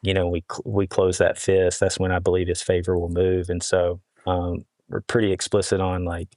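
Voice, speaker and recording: A man speaking as a podcast guest, giving a casual, reflective testimony in an earnest, halting way. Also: sits low, completely flat delivery, darkish tone, dry recording. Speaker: podcast guest, hat brand founder.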